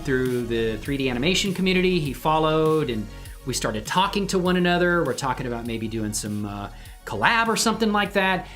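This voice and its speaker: A man's voice: a little high, a bit bright. Enthusiastic man chatting casually over music. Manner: informal, enthusiastic, rambling. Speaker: livestream host and hobby 3D animator. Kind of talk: casual livestream chat. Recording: music faintly behind the voice.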